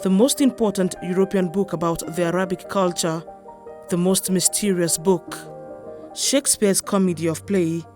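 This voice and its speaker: A woman's voice: on the low side, fairly even intonation, normal speed. Woman reporting over music. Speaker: news reporter. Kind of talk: scripted news narration.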